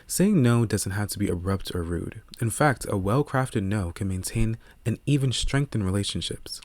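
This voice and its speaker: A man reading scripted audiobook narration in a measured, earnest way, close to the microphone. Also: lowish voice, lively intonation, darkish tone, no room sound.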